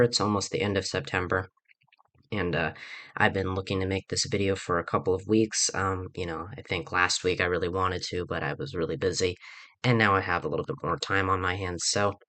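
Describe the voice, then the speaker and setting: A man's voice: low-pitched, a bit bright, no echo. A young man hosting his own political commentary video, groggy from a recent illness and rambling with many fillers and restarts. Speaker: political channel host.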